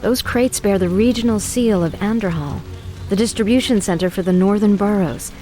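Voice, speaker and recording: An actress playing a poised, thoughtful young sorceress in a fantasy video game, composed and articulate. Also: female voice, medium pitch, moderate pace, warm tone, natural voice. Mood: concerned.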